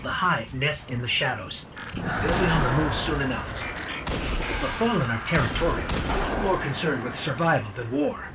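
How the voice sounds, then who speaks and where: A man's voice: medium pitch, lively intonation, normal speed, heavy background noise, close to an everyday voice. A man voicing an earnest, helpful little robot companion in a video game, explaining things as a knowledgeable guide. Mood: earnest, informative. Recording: loud music under the voice.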